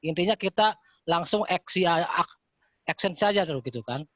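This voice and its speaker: A man's voice: high voice, animated, moderate pace, a bit bright, dry recording. A man, founder of a language community, joining a casual discussion as a caller and talking earnestly with many fillers. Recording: dull, lo-fi call audio.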